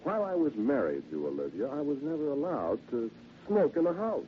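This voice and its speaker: A man's voice: medium pitch, sing-song, fairly quick, slight background noise, natural voice. A man playing a sardonic bitter widower. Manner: wry, taunting, chuckling to himself. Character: bitter sardonic middle-aged male widower salesman. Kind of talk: 1940s radio drama acting. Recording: muffled vintage radio transcription.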